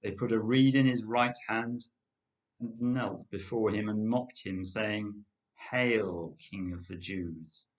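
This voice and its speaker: An older Englishman gives a solemn reading of scripture. His voice is fairly low and his pace slow and deliberate. The recording is a little dull, like a webcam in a kitchen. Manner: measured, deliberate phrasing. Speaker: lay scripture reader. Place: home kitchen, indoors. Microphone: webcam at about arm's length.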